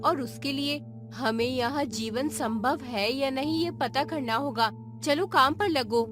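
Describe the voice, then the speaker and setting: A woman's voice: high-pitched, measured pace, over music. A woman playing a bold, determined woman scientist in a dramatized space adventure story. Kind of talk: dramatized Hindi audio story dialogue.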